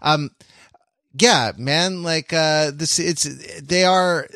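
A man co-hosting a podcast in casual discussion, halting and often interrupting himself as he works through a thought. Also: on the high side, sharp tone, dry recording, studio quality. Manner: rambling, halting, self-interrupting. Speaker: podcast co-host.

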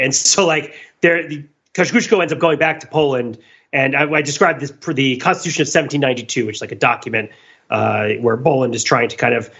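A man's voice: mid-range voice, a bit bright, slightly rough, highs cut off. A man co-hosting a podcast in casual discussion, talking discursively and digressing as he searches for words. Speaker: podcast co-host.

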